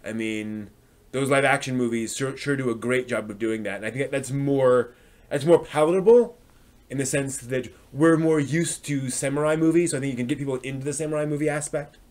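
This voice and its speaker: A man with a mid-range voice hosts a casual live stream. He talks through his opinions as he forms them, pausing and circling back. The delivery is informal and conversational, as if replying to a chat. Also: medium pitch, a little mellow. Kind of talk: casual discussion answering chat comments.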